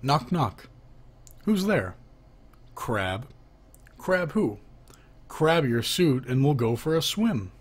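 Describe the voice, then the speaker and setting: Slow, husky man reading jokes. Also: medium pitch, big pitch swings. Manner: reads each speaker's label before their line; lifts his voice for the asking half of knock-knock jokes. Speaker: reader of a joke book.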